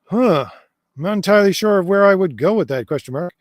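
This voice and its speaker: A man's voice: high voice, expressive, fast, dry recording, studio quality. Man with a tired, fading voice. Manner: hesitant, many fillers and restarts, reads comments aloud. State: losing his voice. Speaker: host answering viewer comments. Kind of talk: casual livestream chat.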